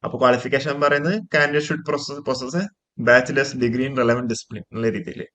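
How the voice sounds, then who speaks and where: A man's voice: mid-range voice, fairly even intonation, measured pace, bright, forceful tone. A man with a Malayali accent presenting an informal coaching explainer to viewers, mixing in English terms and repeating stock phrases.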